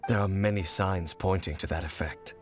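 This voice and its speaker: A man's voice: low voice, fairly even intonation, faint music behind, lightly acted. A man playing a grave, solemn game wanderer. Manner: solemn, measured, formal. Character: grave, mysterious male wanderer, guarded and solemn.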